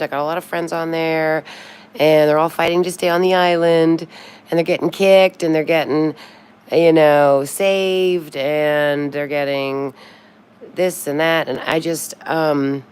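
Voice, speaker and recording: Low-voiced woman in her sixties rambling live. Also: fairly even intonation, a little slow, smooth. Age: 60s. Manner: chatty, sings snatches of birthday songs to herself. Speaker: livestream host. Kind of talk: rambling casual livestream chat.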